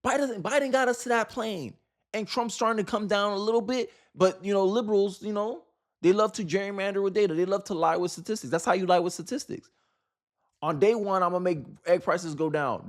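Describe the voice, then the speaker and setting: A man's voice: high voice, brisk. An American man giving casual, opinionated political commentary in an exasperated, emphatic way, firing off rhetorical questions.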